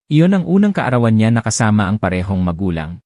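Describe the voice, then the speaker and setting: A man reads a romance story aloud in a gentle, expressive storytelling way, his low voice rising and falling with a lively lilt. The tone is dark and mellow, and the recording is dry and close with no room sound. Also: fairly quick, no echo. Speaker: audiobook narrator. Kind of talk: scripted storybook narration.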